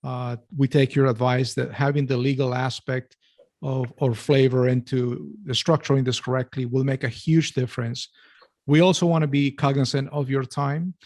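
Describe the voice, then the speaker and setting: A man in his natural middle register, co-hosting a business podcast in relaxed chat. He sounds friendly and likes to slip in a joke. The recording is dry and close, with no room around it. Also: mid-range voice, moderate pace, dry recording. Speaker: podcast co-host.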